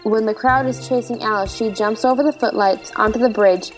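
A woman's voice: on the high side, steady tone, brisk, faint music behind. A woman narrator reading stage directions as scripted narration.

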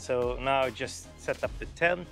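A man in his thirties vlogging outdoors by a forest lake, talking into a camera held at arm's length, relaxed and hesitant with many fillers. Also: medium pitch, normal speed, over music. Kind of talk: casual outdoor vlog.

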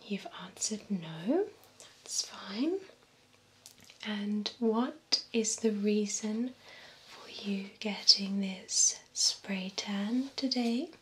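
Young woman as courteous female vampire beautician, ASMR role-play, whispered, very slow, soothing, close binaural mic, background ambience. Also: medium pitch, a bit bright, low-quality recording. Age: young adult. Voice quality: breathy whisper. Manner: slow, soothing, formal client care. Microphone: close binaural microphone. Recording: close whisper over clear ambient noise.

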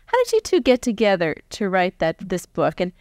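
An American woman in her forties interviewing a guest on stage in a chatty, animated, conversational way. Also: mid-range voice, normal speed, dry recording, clean studio sound. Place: on stage before a live audience. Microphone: large microphone on a stand, close.

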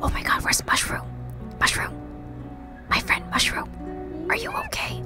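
A woman voicing a small, excitable, anxious firefly in a game, in a breathy, questioning whisper. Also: moderate pace, music in the background, close to an everyday voice. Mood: distressed. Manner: exclamatory, questioning.

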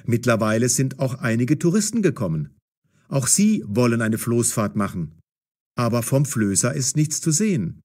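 A man narrating a scripted story for language learners, articulating clearly and expressively. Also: medium pitch, big pitch swings, normal speed, a little mellow.

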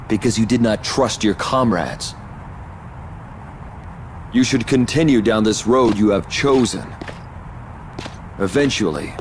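A man playing a noble, wise armored leader in a video game, formal, measured and earnest. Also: fairly low pitch, slight background noise.

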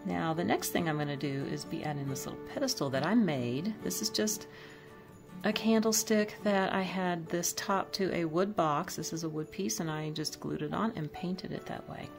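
A woman's voice: on the low side, moderate pace, creaky, over music. A woman chatting casually as she decorates her home kitchen, thinking aloud about each piece. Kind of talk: casual voice-over demo.

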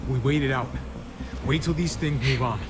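An actor playing a wary, protective survivor leader in a video game, speaking tersely and cautiously. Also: male voice, medium pitch, normal speed, close to an everyday voice. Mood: guarded, low. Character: wary, protective man leading apocalypse survivors. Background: music.

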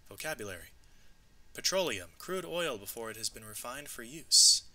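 A man narrating an educational storybook read-aloud, with expressive, clearly emphasised delivery. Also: medium pitch, normal speed.

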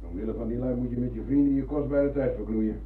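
A man's voice: mid-range voice, steady tone, quick pace, a little hiss or hum, lightly acted. An actor playing a tired, muddled older husband in a Dutch radio play, hesitating and repeating his words. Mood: tired, grumbling. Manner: hesitant, repetitive, muttering. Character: older husband, weary, muddled party man. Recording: muffled, humming old radio recording.

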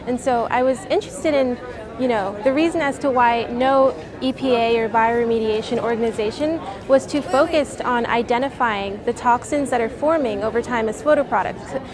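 A woman's voice: fairly high pitch, moderate pace. Eager teenage girl in a noisy hall. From New Orleans, Louisiana. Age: teens. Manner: eager, chatty, many "you know" fillers. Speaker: science fair student. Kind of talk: casual interview answers. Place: busy convention hall. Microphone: lapel mic. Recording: crowd chatter behind.

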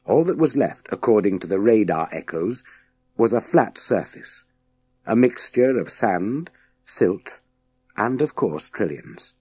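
A man reads a story aloud in a low, mellow voice with a slightly husky edge. His delivery is measured and dramatic, very slow, with deliberate pauses between phrases. He sounds like a practised audiobook narrator telling children a tale. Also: big pitch swings. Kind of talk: scripted storytelling narration of a children's science fiction novel.

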